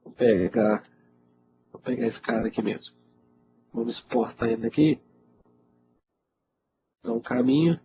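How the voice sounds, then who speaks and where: A man's voice: mid-range voice, unhurried, pauses often, over music. A Brazilian man with a cold, teaching IT and answering questions in an informal, conversational webinar style, often checking in with his listeners. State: congested with a cold. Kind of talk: casual live webinar Q&A. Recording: dull lo-fi webinar audio, loud noise.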